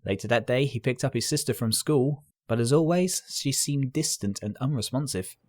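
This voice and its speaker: A man reading a scripted story aloud as narrator, in an expressive, earnest and dramatic storytelling style. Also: medium pitch, moderate pace, no echo.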